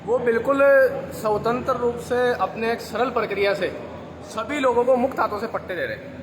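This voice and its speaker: A male ward councillor giving a formal, measured statement with pauses at a press conference. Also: sits high, low-quality recording. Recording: crowd noise behind.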